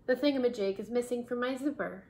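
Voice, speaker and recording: A woman's voice: a little high, quick pace, a little mellow, slight background noise, natural voice. A woman voicing a polite, flustered female schoolteacher in a storybook reading. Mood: apologetic.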